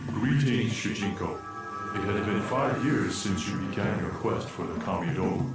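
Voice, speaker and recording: A man's voice: on the low side, animated, moderate pace. A man playing a grave, commanding disembodied spirit guide in a fantasy video game, speaking as a formal, solemn mentor with a ghostly echo. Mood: measured, approving. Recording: echoing, distant voice under loud game music. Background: loud music.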